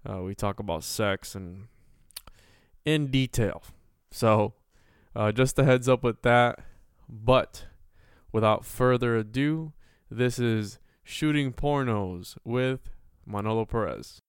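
A man in his twenties hosting a podcast, talking earnestly and philosophically with many halting restarts. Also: mid-range voice, smooth, no echo, clean studio sound. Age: 20s. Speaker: podcast host. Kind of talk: casual podcast chat.

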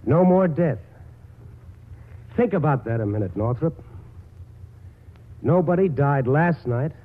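An actor playing a stern small-town doctor with a firm, pressing, measured manner in a 1940s radio drama. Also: male voice, fairly high pitch, lively intonation. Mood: stern, urgent. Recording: muffled, narrow-band 1940s radio broadcast.

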